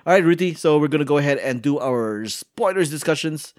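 Animated, jokey male podcast host. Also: on the high side, fairly quick, no room sound. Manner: jokey, animated, laughs often. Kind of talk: casual chat.

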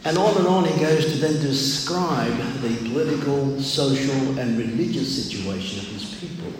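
An older man with a loud voice preaches a sermon in a measured, deliberate manner with frequent pauses. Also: medium pitch. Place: large echoey room, speaking to a congregation. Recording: very echoey hall, clear background noise.

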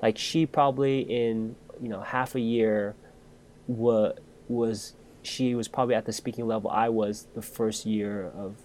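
A man's voice: lowish voice, fairly even intonation, measured pace, a little mellow, slight background noise. A man hosting a podcast, telling a personal story in casual chat and restarting his sentences often.

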